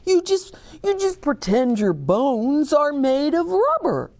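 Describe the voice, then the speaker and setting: A woman's voice: high voice, sing-song, takes it slowly, a little mellow, pitched above the performer's own voice, full character voice. Woman putting on a bouncy cheerful storybook bear. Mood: breezy. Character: cheerful young magic bear, bouncy and helpful.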